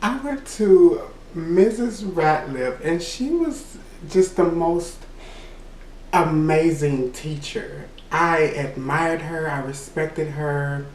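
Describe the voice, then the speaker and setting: A man with a high voice telling a personal story in a casual, rambling way, full of "you know" and quick laughs. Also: animated, a little mellow, echoey room, distant mic, slight background noise, rough recording. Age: adult. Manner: laughs between remarks. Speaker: vlogger.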